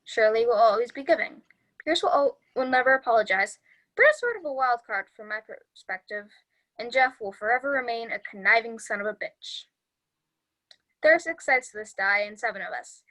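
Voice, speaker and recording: A woman plays a clueless but analytical young sitcom man. The voice is somewhat high and very bright. The lines come out as earnest, matter-of-fact little speeches. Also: animated, normal speed, low-quality recording. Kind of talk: sitcom script table read.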